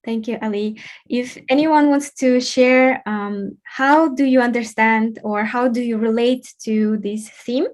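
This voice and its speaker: High-voiced woman hosting an event. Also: steady tone, unhurried, few pauses. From Kazakhstan. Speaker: event host and facilitator. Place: online video call.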